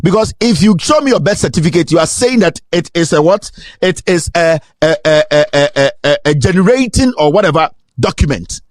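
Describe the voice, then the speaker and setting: Animated high-pitched Ghanaian man, indignant. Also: fairly quick, no room sound, faint music behind. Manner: indignant, emphatic, repeating rhetorical questions. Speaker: political commentator. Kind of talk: impassioned political monologue.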